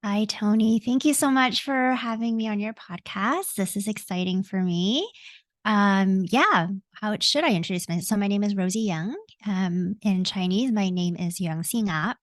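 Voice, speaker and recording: A woman in her forties with a Canadian accent talks as a podcast guest, earnest and reflective. She often pauses with ums and corrects herself mid-sentence. Her voice sits in a middle range and the recording is dry and close. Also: mid-range voice, no room sound. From Hong Kong, raised in Canada. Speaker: guest, coach. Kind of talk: podcast interview.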